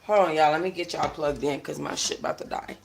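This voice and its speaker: A woman's voice: low voice, fairly even intonation, fast, gravelly, slight background noise. A woman hosting a book club livestream at home on her phone, reading expressively and talking to viewers in an informal, slangy, conversational way. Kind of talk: expressive read-aloud with casual asides to viewers. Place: room at home. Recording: roomy echo on a phone livestream.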